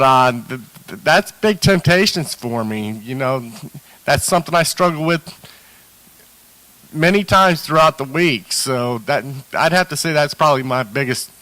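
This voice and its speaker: A man with a bright, forceful voice speaks in a dry, close sound. He tells his recovery story candidly, stumbling and restarting often. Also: medium pitch, dry recording, poor audio. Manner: hesitant, many restarts and fillers. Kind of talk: candid personal testimony.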